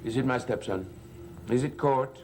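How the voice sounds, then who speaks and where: A man's voice: mid-range voice, moderate pace, a little mellow, a little hiss or hum, close to an everyday voice. An actor playing an overbearing stepfather who talks in a coaxing, insinuating way, from an old television soap opera. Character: smooth, overbearing stepfather of the house. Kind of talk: 1970s soap opera acting.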